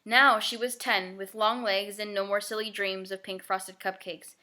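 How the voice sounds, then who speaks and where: A woman narrating a children's novel as a scripted, expressive read-aloud. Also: mid-range voice, moderate pace.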